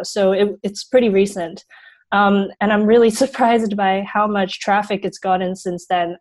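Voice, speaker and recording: A woman's voice: mid-range voice, darkish tone, rough recording. A teenage girl from Hong Kong answering interview questions earnestly, with frequent "you know" fillers. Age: teens. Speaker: youth fund founder, guest.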